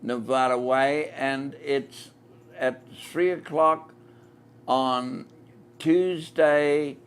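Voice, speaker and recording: A man with a New Zealand accent hosting a live-stream interview from inside a themed shop, speaking slowly and deliberately. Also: medium pitch, fairly even intonation, smooth. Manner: slow, deliberate, repeats the shop address. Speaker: podcast host.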